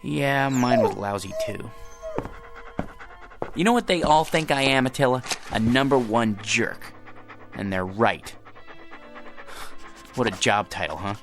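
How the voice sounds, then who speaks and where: A man's voice: medium pitch, expressive, unhurried, pauses often. Meek, flustered ordinary man. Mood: glum, self-mocking. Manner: hesitant, stammering when flustered. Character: meek, self-deprecating ordinary man, bewildered lamp owner. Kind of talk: radio drama acting. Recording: music under the voice.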